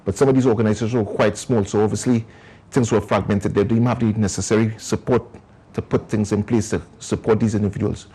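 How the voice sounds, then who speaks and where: Trinidadian man with a husky, mellow voice. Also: lowish voice, fairly even intonation, a little fast. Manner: earnest, explains at length. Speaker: community organization founder. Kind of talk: TV interview guest.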